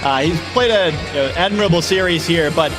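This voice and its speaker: Fast-talking high-voiced male analyst. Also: music in the background. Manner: quick, analytical, frequent "uh" fillers. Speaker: color commentator. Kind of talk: analytical commentary.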